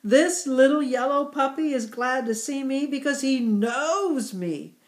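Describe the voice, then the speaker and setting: A woman voicing an excited young male yak who calls out loud yoo-hoos in a children's story. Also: high-pitched, measured pace, pitched above the performer's own voice. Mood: cheerful.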